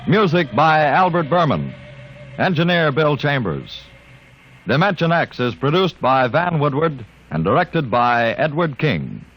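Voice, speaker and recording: A man announcing credits on an old radio show in a crisp, formal manner. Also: fairly high pitch, big pitch swings, a little slow, fairly bright tone, rough recording. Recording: old radio broadcast with music.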